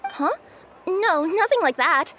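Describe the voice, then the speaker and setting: A woman's voice: extremely high pitch, expressive, takes it slowly, bright, forceful tone, a little hiss or hum, full character voice. A woman voicing a young, earnest and easily flustered cat-spirit courier girl in a video game, with music behind her. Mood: sheepish, friendly. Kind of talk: animated game character acting.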